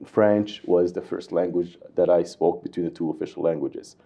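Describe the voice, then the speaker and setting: A man's voice: low-pitched, fairly even intonation, moderate pace, vocal fry, warm tone, lo-fi sound. A man who speaks Somali as his first language and grew up in Canada, chatting as a guest in an interview. He talks easily and repeats words while he looks for the right phrase. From Somali, came to Canada at nine. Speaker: comedian and comedy club organizer. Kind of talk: casual interview chat.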